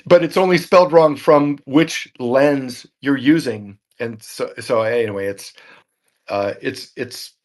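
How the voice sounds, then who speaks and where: A man's voice: mid-range voice, measured pace. Rambling male talk-show host. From Norwegian family background. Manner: rambling, repeats words while thinking. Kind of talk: casual tech talk show chat.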